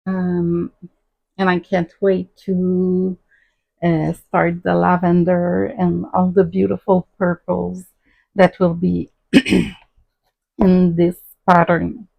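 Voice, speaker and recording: A woman with a Québécois French accent, hosting a casual crafting vlog and talking in a halting way with many ums. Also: lowish voice, monotone, vocal fry, phone-quality sound. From Quebec, Canada. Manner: halting, many ums and uhs, soft-spoken. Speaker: crafting channel host.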